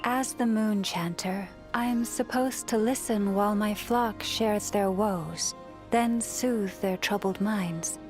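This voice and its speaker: A woman voicing a gentle, serene moon priestess in a video game, speaking softly and soothingly with a reverent manner. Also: mid-range voice, faint music behind, natural voice. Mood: calm, reflective. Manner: soft, soothing, unhurried, reverent. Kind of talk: scripted video game character voice lines.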